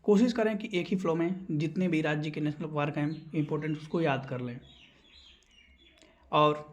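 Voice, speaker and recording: A man's voice: fairly high pitch, moderate pace. A man teaching exam questions, explaining answers and repeating key terms so they stick.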